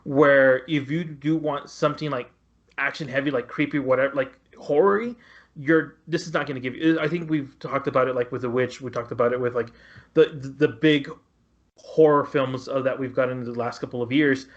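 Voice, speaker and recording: Medium-pitched male, podcast co-host, casual, rambling and stop-start, slightly muffled audio. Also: fairly even intonation, fairly quick. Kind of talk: casual film discussion.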